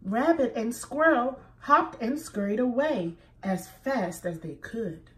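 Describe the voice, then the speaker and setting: A woman reading a children's picture book aloud in a slow, expressive storytelling manner. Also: a little high, recorded from a distance.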